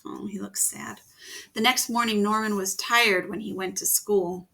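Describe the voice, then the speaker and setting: A woman reading a children's picture book aloud, gently pausing to ask her young listeners questions. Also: medium pitch, steady tone, creaky, rough recording. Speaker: storytime reader.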